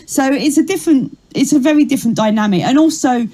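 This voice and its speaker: A middle-aged woman comedian with a rough, ragged voice, chatting animatedly in an interview. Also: high voice. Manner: chatty, animated storytelling with quick asides. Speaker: comedian guest. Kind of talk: casual interview chat.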